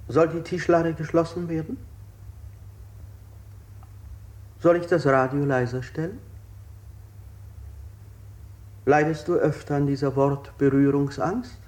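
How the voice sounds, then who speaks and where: An actor playing a mock-courteous chief interrogator in a radio play, putting pointedly polite, leading questions. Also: male voice, a little high, a little mellow, natural voice. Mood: falsely solicitous. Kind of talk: avant-garde radio play acting.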